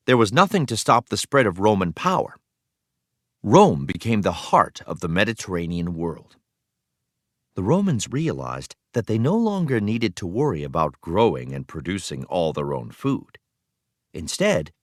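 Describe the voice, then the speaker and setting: A man reading a children's history text aloud as a teacher would, with expressive, clearly phrased delivery. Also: fairly low pitch, no echo, clean studio sound.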